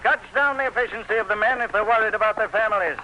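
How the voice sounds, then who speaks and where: A man acting as a sardonic, supremely confident plantation boss in a radio drama, with a brisk, cocky, commanding manner. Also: high-pitched, lo-fi sound, lightly acted. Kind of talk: theatrical radio drama dialogue. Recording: noisy radio drama recording with ambience.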